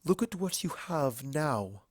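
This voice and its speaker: Male narrator as regal mare princess, kind, solemn, measured, raised pitch, dry close sound, storybook fantasy. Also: very animated, no room sound, exaggerated voice. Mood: warm, earnest. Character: regal female alicorn pony princess, kind and earnest. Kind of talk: fan fiction storybook reading.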